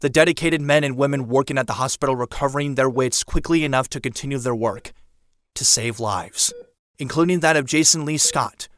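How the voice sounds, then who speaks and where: A man's voice: medium pitch, fairly quick, fairly bright tone. A man reading dramatic scripted narration for an audio drama.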